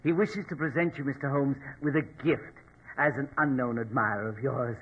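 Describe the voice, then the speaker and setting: An actor in a 1940s radio drama playing a sinister, smugly courteous mortician with a formal, unctuous, deliberate manner. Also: male voice, mid-range voice, a little hiss or hum, close to an everyday voice. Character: sinister, politely menacing male mortician, smugly courteous henchman. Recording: tinny, band-limited old radio recording.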